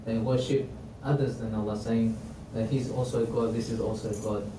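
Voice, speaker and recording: Man, non-native English speaker, mosque class, halting with restarts, Arabic quotes, faint background noise. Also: fairly low pitch, fairly even intonation. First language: not English. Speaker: imam teaching a class. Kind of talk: religious history lesson. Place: mosque hall. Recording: roomy, distant mic, faint noise.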